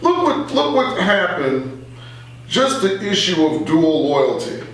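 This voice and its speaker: A middle-aged man speaking as an interview guest, conversational but earnest, in a halting way with repeated phrases. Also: fairly high pitch, sing-song, a bit bright. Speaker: radio journalist interview guest. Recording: very echoey room, far from the mic.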